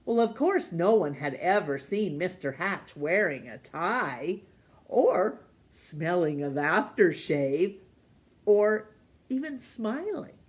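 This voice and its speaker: Female storytime reader: slightly low voice, leisurely, highly animated, dramatic pauses for young listeners. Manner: expressive, with dramatic pauses and emphasis. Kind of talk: animated children's storybook narration.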